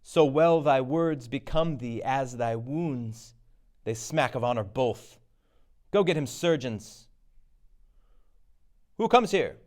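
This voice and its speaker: A man's voice: medium pitch, animated, takes it slowly, pauses often, a little mellow. A man playing a noble, commanding king in a stage tragedy, speaking in a regal, emphatic manner.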